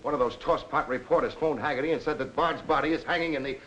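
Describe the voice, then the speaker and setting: A man's voice: fairly high pitch, fast talker, highs cut off, lightly acted. An American man acting a tough, sceptical police lieutenant in a 1940s crime film, clipped and authoritative. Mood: incredulous, irritated. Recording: hissy old film soundtrack.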